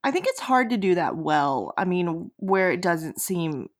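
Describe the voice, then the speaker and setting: A woman's voice: lowish voice, sing-song, unhurried. A woman hosting a podcast in casual, informal, emphatic conversation. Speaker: podcast host. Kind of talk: casual chat.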